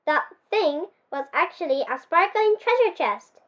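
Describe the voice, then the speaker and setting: A ten-year-old girl from Japan reading her own picture book aloud in an expressive storybook style. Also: very high-pitched, a little slow, muffled recording. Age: child, 10 years old.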